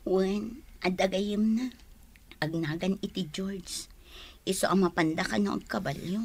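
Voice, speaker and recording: A woman's voice: medium pitch, a little slow, darkish tone, husky, no room sound. An actress with a Filipino accent playing a downcast, hesitant young woman in a radio drama.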